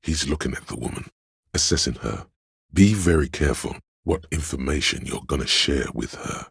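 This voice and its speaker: A man with a gravelly, husky voice reading scripted literary narration for a video game in a measured, solemn, deliberate way. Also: a bass voice, dry recording, clean studio sound.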